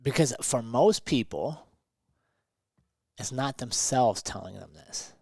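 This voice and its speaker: A man's voice: medium pitch, animated, takes it slowly, gravelly, warm tone, dry recording, studio quality. A man talking conversationally as a motivational podcast host and coach, emphatic and fond of rhetorical questions.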